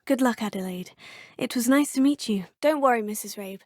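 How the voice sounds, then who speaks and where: An actress voicing a composed, curious young witch student with a British accent, polite and dry-witted, in a husky voice. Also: a little high, fairly quick, no echo, lightly acted. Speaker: player character. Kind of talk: video game voice lines.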